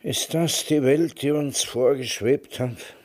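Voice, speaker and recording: An actor in a radio drama playing an old, retired emigrant veteran who speaks in a measured, wry, reminiscing way. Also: male voice, medium pitch, darkish tone, no echo, lightly acted. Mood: dry, wry. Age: older. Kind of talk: German radio drama acting.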